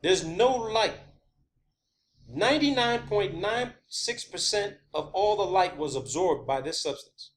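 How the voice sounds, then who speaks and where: A man's voice: high voice, animated, measured pace. A man presenting an unscripted online monologue, insistent and emphatic, swearing often and repeating himself to drive points home. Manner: rhetorical questions and repetition for emphasis. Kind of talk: unscripted conspiracy theory monologue.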